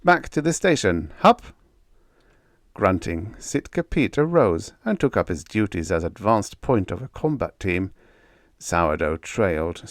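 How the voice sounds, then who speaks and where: Guy narrating an audiobook story, lowish voice, really expressive pitch, dry close sound. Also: dry recording.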